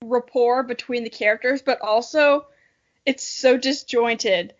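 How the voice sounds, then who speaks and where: High-voiced, playful young woman, dull audio. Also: fairly even intonation, measured pace. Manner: playful, self-mocking, jokey. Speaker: podcast co-host. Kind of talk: reading aloud with casual commentary.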